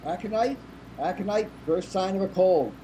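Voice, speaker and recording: A man guest in a casual chat, jokey and animated, speaking over a video call. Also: high-pitched, normal speed, a little hiss or hum, poor audio. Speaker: interview guest.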